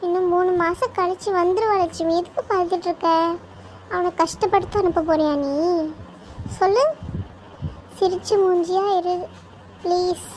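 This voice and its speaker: Casting: shrill coaxing aunt, a woman doing the voice, pleading and scolding at once, high pitched. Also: fairly even intonation, normal speed, long pauses, darkish tone, slight background noise. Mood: coaxing, chiding. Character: consoling village aunt scolding a crying mother.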